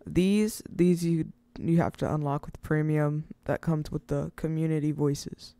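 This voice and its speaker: A casual, rambling speaker hosting an informal step-by-step software tutorial, recorded close to the mic as a voice-over. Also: mid-range voice, steady tone, a little slow, no echo. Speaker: tutorial host.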